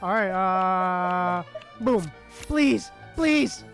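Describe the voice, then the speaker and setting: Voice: mid-range voice, expressive, extremely slow, fairly bright tone, soft background music. A streamer giving casual playthrough commentary and reading game dialogue aloud.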